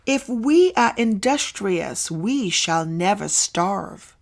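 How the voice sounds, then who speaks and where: A woman narrating an old essay as a scripted audiobook, with deliberate, emphatic phrasing and frequent pauses. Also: mid-range voice, expressive, creaky. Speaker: narrator reading an essay aloud.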